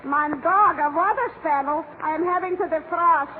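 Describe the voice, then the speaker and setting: A very high-pitched, plaintive housewife complains in twisted syntax with a Yiddish-inflected New York accent. It is 1940s radio comedy, heard through a thin, tinny broadcast recording under heavy noise. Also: female voice, normal speed. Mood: woeful, indignant.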